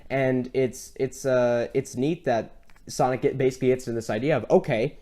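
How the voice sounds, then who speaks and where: A man co-hosting a podcast, casually reviewing a film in an animated, rambling retelling. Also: mid-range voice, moderate pace. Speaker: podcast co-host.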